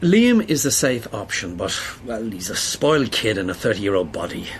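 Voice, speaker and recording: A man's voice: lowish voice, sing-song, normal speed, slight background noise. An Irish actor playing a stern, exasperated male newspaper boss in a naturalistic radio drama, speaking bluntly.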